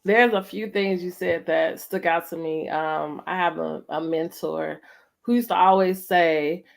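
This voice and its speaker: A woman's voice: on the low side, fairly even intonation, unhurried, gravelly. A middle-aged American woman hosting a casual, reflective conversation, speaking emphatically and often checking in with her listener. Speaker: host of a family storytime conversation.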